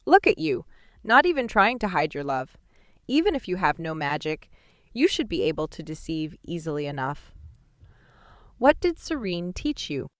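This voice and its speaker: A cruel sorcerer father taunts his captive daughter with cool, sneering amusement, as a woman reader puts him on. The voice sits low and smooth, read close to the mic in a dead-dry studio. It unfolds like a dark fantasy audiobook. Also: big pitch swings, normal speed, no room sound. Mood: mocking. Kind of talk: audiobook dialogue reading.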